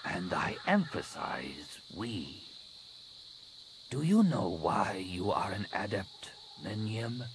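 A man voicing a stern alien woman of rank, a master scientist, speaking in a slow, hushed, imperious way for a story. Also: on the low side, sing-song, full character voice. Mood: probing, grave. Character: stern female alien master scientist nearing middle age. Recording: clearly noisy recording.

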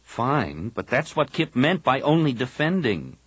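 A man's voice: medium pitch, sing-song, measured pace, husky, no room sound, voice pushed up. Level-headed young Jedi brother, read by a man, plain delivery, dry close mic. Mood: thoughtful. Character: thoughtful teenage male Jedi, level-headed.

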